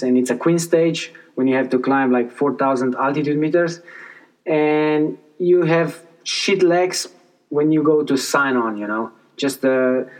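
Halting young man, slightly high voice. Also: distant mic, low-quality recording. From Slovenia. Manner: hesitant, halting, fillers, non-native phrasing. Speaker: professional cyclist, guest. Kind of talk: casual interview answers.

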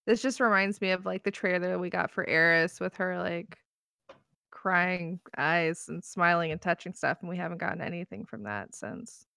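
A woman with a gravelly edge to her voice chats casually in a group. She rambles and keeps asking questions. The sound is dry and close. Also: lowish voice, moderate pace, sharp tone, dry recording.

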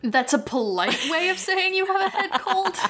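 A young woman co-hosting a podcast in casual, playful chat, quick to laugh and poke fun at herself. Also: extremely high pitch, sing-song, sharp tone. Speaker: podcast co-host.

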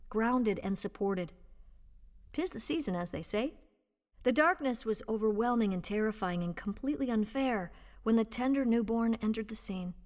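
A woman reading a written personal piece aloud as scripted narration, with a measured, expressive storytelling delivery. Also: fairly high pitch. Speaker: volunteer reader and host of an audio reading service.

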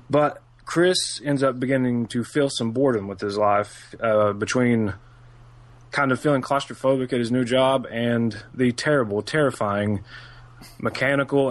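A man with a self-described monotone voice, co-hosting a casual podcast and reading out a film synopsis. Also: medium pitch.

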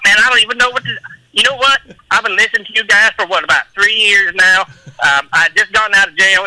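A man's voice: high voice, sharp tone, no echo. A man calling in to a talk show, chatting casually in a folksy way over a tinny phone line. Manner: folksy, says "man" often. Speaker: phone-in caller and longtime listener. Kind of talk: casual call-in chat.

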